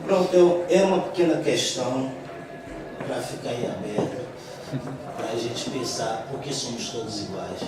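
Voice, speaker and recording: A man from Bahia with a Brazilian accent, speaking from the audience at a public discussion, slowly and thoughtfully, with many hesitations. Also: medium pitch. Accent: Brazilian Portuguese, from Bahia. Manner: slow, thoughtful, with repeated hesitations. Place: café hall, live event. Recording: roomy echo, distant mic, background noise.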